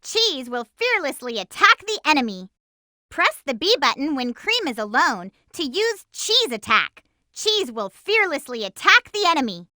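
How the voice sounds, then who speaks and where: A woman voicing a perky, bold cartoon hedgehog girl hero in a video game, giving hints in a chirpy, clearly enunciated, eager way. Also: high voice, very animated, moderate pace, bright, forceful tone, no room sound, clean studio sound, full character voice. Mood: upbeat, instructive. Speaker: playable character giving gameplay hints. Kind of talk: video game tutorial voice acting.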